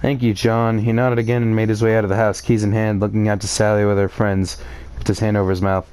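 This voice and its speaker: A man's voice: fairly low pitch, flat delivery, quick pace, a little mellow, clean tone. A man reading a story aloud and reacting to it with theatrical, exclamatory commentary and sarcastic asides. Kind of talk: live read-aloud with outraged commentary.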